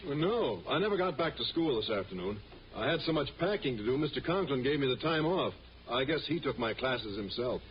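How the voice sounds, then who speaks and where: A man's voice: mid-range voice, animated, a little fast, natural voice. An actor playing a mild, earnest, naive male schoolteacher in an old radio sitcom. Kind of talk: 1950s radio situation comedy acting. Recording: muffled, noisy old radio recording.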